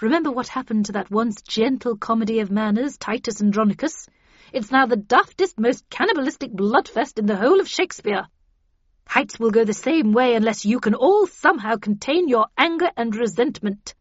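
A woman's voice: fairly high pitch, lively intonation, moderate pace, no echo. A woman voicing a stern, cold, commanding female official, severe and formal, in an audiobook. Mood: cold, urgent. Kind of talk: audiobook character dialogue. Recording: dull, band-limited audio.

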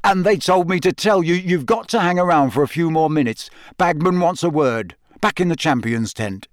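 A man voicing a friendly, excited young man, chatty and hurried, in an audiobook. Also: a little high, dry recording, clean studio sound, voice pushed up, lightly acted.

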